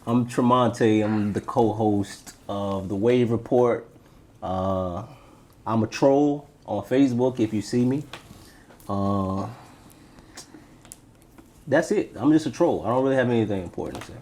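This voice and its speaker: A man's voice: on the low side, a little slow, pauses often, darkish tone, a little hiss or hum. A man from Florida being interviewed as a podcast co-host, telling personal stories in a laid-back way and repeating phrases for emphasis. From Tampa, Florida, United States. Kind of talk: casual personal storytelling.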